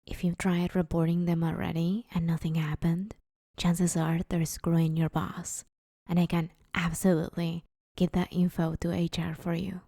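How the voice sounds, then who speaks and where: A woman's voice: fairly low pitch, flat delivery, normal speed, creaky, warm tone, dry recording, clean studio sound. A woman playing a broke, sheepish but scheming villain in a conversational comedy audio roleplay, wheedling her neighbor in an awkward, hesitant way. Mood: eager, bargaining.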